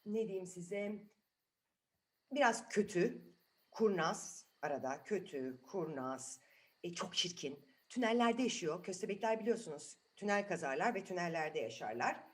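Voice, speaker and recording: A woman's voice: fairly low pitch, lively intonation, unhurried. A woman telling a story to children in an improvised, chatty way, asking the listeners questions as she goes.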